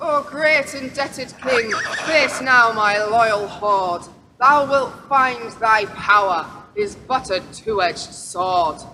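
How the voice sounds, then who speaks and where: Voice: slow pace, bright, forceful tone. A performer playing a defiant witch who rules the land in a radio play, declaiming archaic threats. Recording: noisy, rumbling background.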